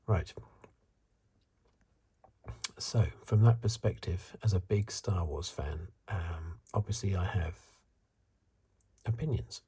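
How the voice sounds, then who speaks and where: Male, 50s, ASMR host: very breathy, hushed, deep voice, halting off-the-cuff musing. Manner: hesitant, halting, unscripted musing. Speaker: host of an ASMR video channel. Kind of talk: soft-spoken whispery ASMR commentary.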